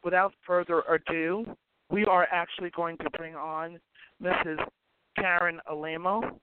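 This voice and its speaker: A male host with a hoarse voice, apologizing and rambling as he runs a casual call-in talk show. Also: fairly high pitch, little pitch movement, unhurried, background noise. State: hoarse, lost his voice. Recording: tinny phone line.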